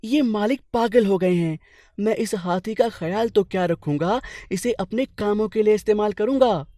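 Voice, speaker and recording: A man's voice: high voice, normal speed, mellow, no echo, clean studio sound, voice pushed up, close to an everyday voice. A man voicing a naive, lazy, whining male servant with a sly streak in a comic cartoon folk tale. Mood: sly, grumbling.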